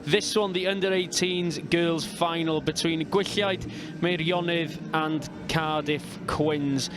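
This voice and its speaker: A man from North Wales with a North Welsh accent, calling a rugby match as live commentator in a measured, steady way. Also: on the high side, noisy background. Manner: measured, reads out team lines steadily.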